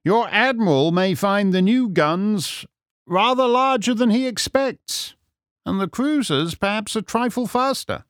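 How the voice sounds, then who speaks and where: A male narrator plays a suave English detective in an audiobook story. His lines are raised in pitch and swoop with lively inflection. He sounds urbane, wry and sure of himself, in a dry recording. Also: no room sound. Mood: calm, triumphant. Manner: urbane, measured, wry, formal. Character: suave, self-assured English gentleman detective, wryly triumphant. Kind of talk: audiobook character acting.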